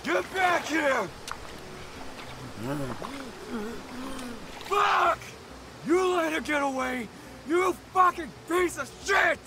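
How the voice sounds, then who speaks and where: A man's voice: very high voice, noisy background, exaggerated voice. An actor playing a sadistic, boastful male serial killer in an audio drama, with theatrical, taunting menace. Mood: furious. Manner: theatrical, swinging from quiet menace to raging shouts.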